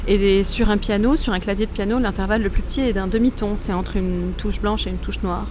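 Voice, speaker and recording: A woman from Lebanon, a comics author, gives thoughtful interview answers and often pauses to search for words. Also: mid-range voice. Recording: noisy outdoor street ambience. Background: street ambience and passing vehicles.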